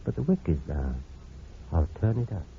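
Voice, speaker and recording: An actor playing a grave, considerate doctor in an old-time radio drama, speaking in a measured, explanatory way. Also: male voice, sits low, sing-song. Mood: calm, coaxing. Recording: muffled, hissy old radio recording.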